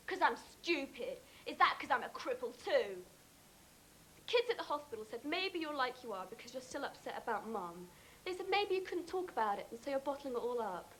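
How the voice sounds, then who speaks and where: A young actress playing a bold, hurt daughter in a wheelchair who confronts her father in sharp, reproachful words. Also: high voice, big pitch swings, brisk, slight background noise, heavily acted, poor audio. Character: defiant young girl in a wheelchair.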